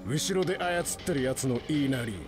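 A man's voice: medium pitch, expressive, a little fast, close to an everyday voice. A man playing a blunt, laid-back veteran ninja in an anime game. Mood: unimpressed. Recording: game dialogue over loud music.

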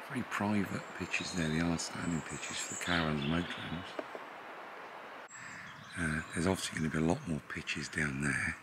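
A man in his fifties, relaxed and chatty with frequent fillers, filming himself at arm's length while walking around a riverside campsite. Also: sits low. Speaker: vlogger touring a campsite. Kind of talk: casual walk-around commentary. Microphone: handheld camera at arm's length. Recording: outdoor camera audio with clear ambient noise. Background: birdsong and rural outdoor ambience.

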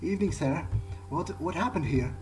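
A man playing a video game and speaking in character, in a halting way that repeats words. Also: fairly high pitch, expressive, darkish tone, slight background noise. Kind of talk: in-character roleplay game commentary. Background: game music.

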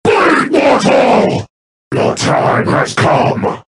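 A man's voice: extremely high pitch, huge pitch swings, a crowd in the background, heavily acted. A man voicing a menacing demon soldier hunting human prey, delivering threatening combat barks for a video game. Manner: slow, menacing, drawn-out threats and shouted battle cries. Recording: roomy, distant, very noisy game capture.

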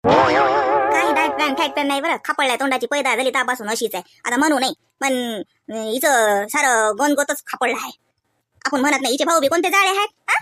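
A woman voicing a homely housewife hostess in a comedy cartoon, polite on the surface and privately sharp-tongued. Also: high voice, expressive, a little fast, dry recording, clean studio sound. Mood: catty, mocking. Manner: polite and chatty, with catty muttered asides. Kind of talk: exaggerated cartoon comedy dialogue.

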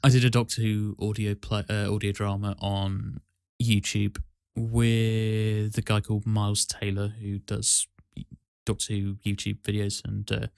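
A man with a fairly low voice talks casually on a podcast. His delivery is quite flat and even, with halting explanations, and the sound is dry and close. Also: creaky, clean tone, no room sound. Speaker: podcast host and amateur voice actor.

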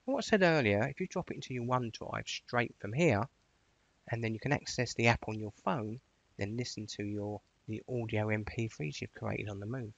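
A man talks someone through software in a loose, chatty tutorial style. His voice has a noticeable vocal fry, and the close recording is dry and slightly dull. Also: fairly low pitch, fairly quick, no room sound. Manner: chatty, informal, rambling. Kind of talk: casual screen-share tutorial walkthrough.

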